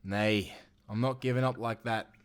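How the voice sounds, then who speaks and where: A man's voice: fairly low pitch, fairly even intonation, moderate pace, a little hiss or hum, lightly acted. Man playing earnest timberman sailor. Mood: reluctant, earnest. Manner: earnest, old-time seafaring speech. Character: kind, principled male timberman sailor of the 1790s. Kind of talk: cinematic audio roleplay.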